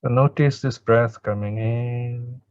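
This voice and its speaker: A man who is a Buddhist teacher leading chanting and guided meditation in a calm, measured way with pauses, on dull audio. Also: medium pitch.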